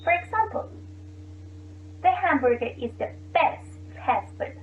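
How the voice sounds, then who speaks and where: A high-pitched woman reads a student presentation slowly and carefully. She sounds distant and tinny, as if over a thin phone-like line in an echoey room. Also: animated, a little hiss or hum. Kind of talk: reading a class presentation.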